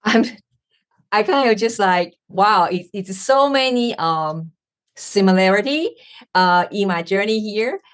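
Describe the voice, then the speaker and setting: A woman's voice: medium pitch, expressive, a little slow. A woman from Taiwan, hosting an interview podcast and searching for her words as she speaks. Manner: searching for words, with many fillers. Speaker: podcast host.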